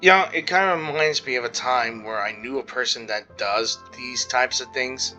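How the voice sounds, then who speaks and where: A man giving casual, rambling game commentary, halting and reacting as he goes. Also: mid-range voice, bright, forceful tone, low-quality recording. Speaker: gaming video maker. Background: game music.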